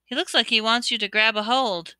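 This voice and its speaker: A woman voicing an excitable young schoolboy who blurts out exclamations and questions. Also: a little high, a little fast, smooth, dry recording, voice pushed up. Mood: matter-of-fact.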